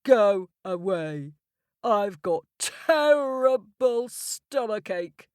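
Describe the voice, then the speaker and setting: A sulky, groaning giant nursing a bellyache, as a woman storyteller puts him on. He drags his words slowly, recorded dry and close. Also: medium pitch, lively intonation, darkish tone, no room sound. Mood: grumpy. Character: grumpy giant with a stomachache.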